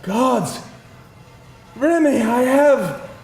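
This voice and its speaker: An actor plays a self-pitying yet heroic vampire with a high, swooping voice. The delivery is theatrical and grandiose, in an echoey live room. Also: expressive, very slow, a little mellow, full character voice. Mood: anguished. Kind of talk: live screenplay table read.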